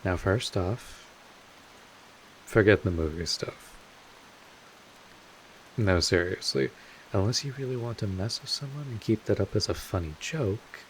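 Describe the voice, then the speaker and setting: A man playing a wry, laid-back vampire mentor in an intimate audio drama, casual and sardonic, with drawn-out pauses, protective and a little world-weary. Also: lowish voice, a little hiss or hum, natural voice, low-quality recording. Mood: amused, teasing.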